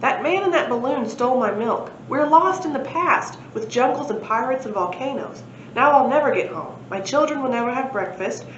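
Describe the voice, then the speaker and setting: A woman's voice: high voice, animated, moderate pace, voice pushed up. Woman as a hapless, dramatic storybook father. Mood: despairing. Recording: roomy, dull lo-fi, faint noise.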